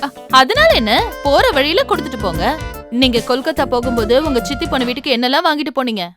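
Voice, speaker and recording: Naggy indian tamil cartoon wife, high voice, talks fast, insistent. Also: female voice, very animated, over music. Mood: indignant. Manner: nagging, insistent. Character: nagging, wheedling, easily offended wife. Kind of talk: animated family series acting.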